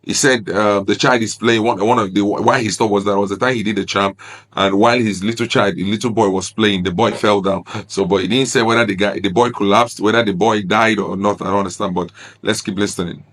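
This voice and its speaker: A man's voice: fairly low pitch, steady tone, fairly quick, a bit bright. A man, an Igbo speaker, giving earnest, grieved commentary on a video clip and repeating his points. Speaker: reaction video host.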